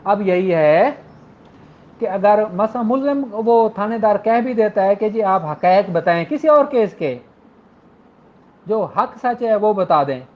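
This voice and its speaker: A man's voice: high-pitched, expressive, a little slow. A middle-aged man with a Pakistani accent giving an informal, explanatory law lecture in a conversational, familiar way. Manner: conversational, addresses listeners as his children and siblings. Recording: dull lo-fi audio.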